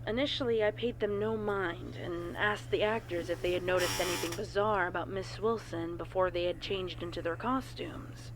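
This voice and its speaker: A woman's voice: on the low side, steady tone, moderate pace, few pauses. A woman reading a scripted horror story as a narrator, in the form of a report. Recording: clear background noise.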